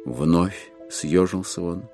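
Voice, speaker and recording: A man narrating a dramatized literary audiobook with theatrical, measured, ironic storytelling. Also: low-pitched, darkish tone, faint music behind.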